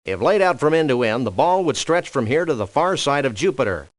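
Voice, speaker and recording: Guy doing a deadpan cartoon dog detective for a game, dry wit, wordy, really up and down melody, no room sound. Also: mid-range voice, a little fast, no echo, lightly acted. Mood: deadpan, unhurried. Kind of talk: cartoon adventure game voice acting.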